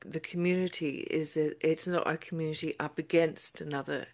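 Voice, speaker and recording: A woman interviewer asking questions in a slow, thoughtful way, restating what she has heard. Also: low-pitched, steady tone.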